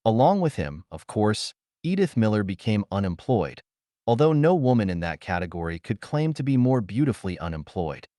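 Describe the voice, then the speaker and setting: A man reading pulp science fiction as an audiobook narrator, in an expressive, theatrical way. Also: on the low side, moderate pace, no room sound, clean studio sound.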